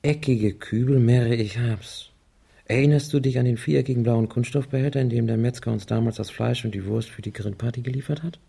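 An actor playing a confident husband who speculates over grim clues in a conversational, assertive way in a radio crime drama. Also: male voice, medium pitch, brisk, gravelly, warm tone, slightly rough. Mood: musing.

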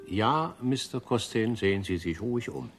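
Man playing a pompous gentleman gourmet, lowish voice. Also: expressive, normal speed, over loud music, lightly acted. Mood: insistent. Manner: formal, reverent, emphatic. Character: pompous, devoted gentleman regular of an exclusive old restaurant. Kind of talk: German radio drama acting.

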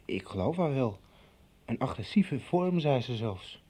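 An actor in a radio soap playing an earnest young man, probing and confiding, with a husky voice. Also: medium pitch, big pitch swings, a little slow, dark tone. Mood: probing, curious. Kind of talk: 1990s Dutch radio soap acting.

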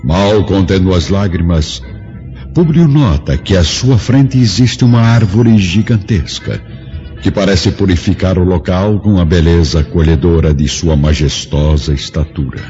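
A man's voice: low-pitched, unhurried, warm tone. A man narrating a radio drama in a solemn, literary, dramatic scripted style. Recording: dull lo-fi radio drama, music behind.

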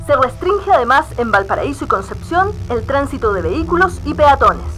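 A Chilean woman with a high voice reads a scripted news bulletin. She sounds formal and official, and there is faint music in the background. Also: sing-song, moderate pace, a bit bright. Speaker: news reader.

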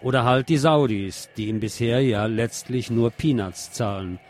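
A man presents news and commentary on the radio. His voice sits at a medium pitch and he adds dry, sardonic remarks as he reads. Faint music plays along with his voice. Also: moderate pace.

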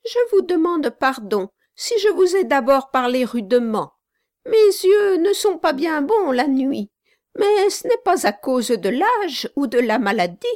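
A woman reading aloud, voicing a gruff, trembling old male gravedigger. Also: high-pitched, big pitch swings, unhurried, voice pushed up. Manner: gruff then gentle, trembling. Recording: dry close audiobook recording.

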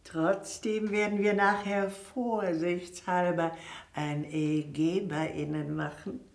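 A woman's voice: on the low side, steady tone, slow pace, echoey room. An actress playing a fussy, bossy, solicitous guesthouse lady in a German radio drama, chatty and bustling. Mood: fussing.

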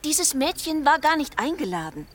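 An actress playing a restless young society wife in a radio play, airy and flippant with a touch of irony. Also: female voice, high-pitched, big pitch swings, normal speed, a bit bright, a little hiss or hum. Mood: defensive. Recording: night crickets and outdoor ambience. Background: chirping crickets.

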